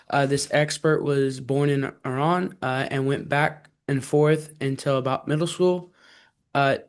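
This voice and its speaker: A teenage boy hosting a student podcast, introducing a guest and asking halting questions with fillers. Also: medium pitch, clear, resonant tone.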